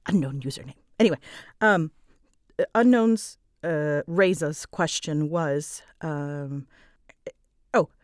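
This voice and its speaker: Woman doing a casual q&a, lowish voice, slow n rambly, apologizes for herself, keeps stopping mid sentence. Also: dry recording, studio quality. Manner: rambling, self-deprecating, apologetic; halting, often breaks off mid-sentence. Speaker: audio roleplay creator answering fan questions. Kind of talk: casual, unscripted Q&A chat.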